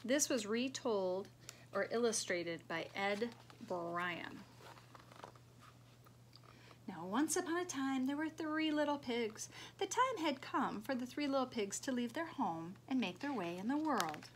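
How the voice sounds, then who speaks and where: A middle-aged woman reading a children's storybook aloud like a preschool teacher, expressive and emphatic, recorded in a room at home. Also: fairly high pitch, unhurried, slight background noise. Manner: expressive, emphatic, simple phrasing for small children. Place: small living room, indoors.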